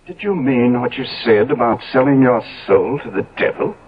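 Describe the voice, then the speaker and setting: An actor playing a worldly, decadent older gentleman in a 1970s radio drama, urbane and deliberate in his speech. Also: medium pitch, lively intonation, husky. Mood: probing. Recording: thin, tinny old radio broadcast.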